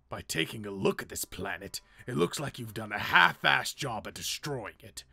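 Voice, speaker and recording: Anime roleplay villain: menacing alien warrior male actor, forceful, slow, taunting and contemptuous. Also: on the low side, vocal fry, husky, heavily acted. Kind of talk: amateur anime game roleplay acting.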